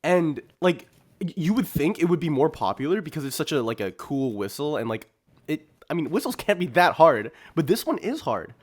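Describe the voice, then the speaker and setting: A man's voice: fairly high pitch, very animated, normal speed, a little hiss or hum. A man co-hosting a podcast, chatting casually and telling anecdotes in an informal, slangy way. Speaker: podcast co-host.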